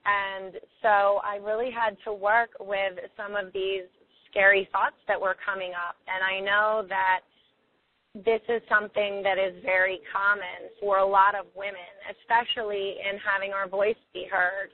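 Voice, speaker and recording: A hoarse woman hosting a call-in coaching show, chatty and open, with a lot of "like" and "you know". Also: medium pitch, little pitch movement, a little slow. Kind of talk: casual hosting on a phone and webcast call. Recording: tinny phone line.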